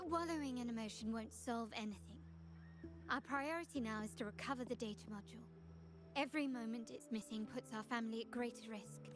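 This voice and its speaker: A woman plays a poised young heiress in a video game. Her voice sits a little high, and she speaks formally with calm resolve while game music runs underneath. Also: natural voice. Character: poised young noblewoman, family heir. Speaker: game character.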